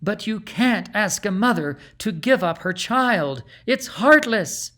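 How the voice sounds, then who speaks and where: Man as an anxious, high-pitched grandmother queen. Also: animated, slow, fairly bright tone, exaggerated voice. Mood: pleading. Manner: urgent, pleading.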